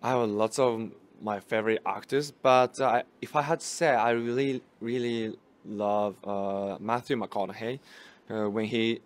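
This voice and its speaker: A young man with Japanese as his first language, a guest in a festival interview, speaking halting English and searching for words. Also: fairly low pitch, fairly even intonation. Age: young adult. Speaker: actor guest. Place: small room at a film festival. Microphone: handheld mic held by interviewer.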